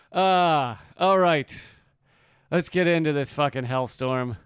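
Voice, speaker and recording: A man hosting a casual political podcast, his voice smooth and resonant in a dry, close studio sound. He jokes and swears freely and laughs often between points. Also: on the high side, slow, no echo. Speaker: podcast host.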